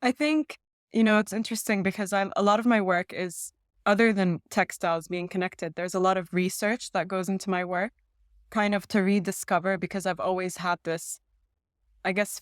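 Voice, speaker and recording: Low-voiced woman from Saudi Arabia, closely recorded. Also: steady tone, moderate pace, dry recording, clean studio sound. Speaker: textile and multimedia artist being interviewed. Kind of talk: reflective interview talk.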